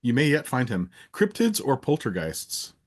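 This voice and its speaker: A man hosting a casual interview, reading out rapid either-or questions in a playful, teasing way. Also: medium pitch, very animated. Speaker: interviewer, host.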